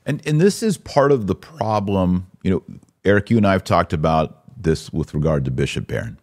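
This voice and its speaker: A man's voice: sits low, very animated, normal speed, a little mellow. A man hosting a podcast, giving conversational religious commentary in an emphatic, teacherly way. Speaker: podcast host.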